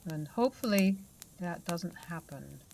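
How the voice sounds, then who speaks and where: A woman narrating true ghost stories in a soft, conversational way. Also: lowish voice, lively intonation, unhurried, creaky, slight background noise, rough recording. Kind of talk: storytelling narration.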